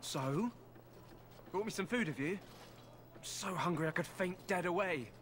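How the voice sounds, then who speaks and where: A man's voice: high voice, expressive, moderate pace, fairly bright tone, a little hiss or hum. An actor playing a starving, sly prisoner in a fantasy game, wry and wheedling as he bargains. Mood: eager gratitude.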